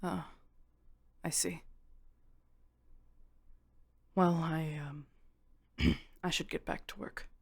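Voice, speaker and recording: A woman's voice: sits low, fairly even intonation, slow, creaky, breathy edge, dry recording, heavily acted. A woman voicing a guarded, uneasy vampire detective in an audio drama, talking in a careful, hesitant way.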